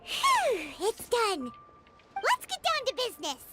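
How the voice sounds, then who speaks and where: A woman voicing a small, perky, childlike girl companion in a video game, with a squeaky, chirpy, emphatic delivery. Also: huge pitch swings, slow pace, exaggerated voice. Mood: friendly. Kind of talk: video game character voice acting. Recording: loud music bed under voice.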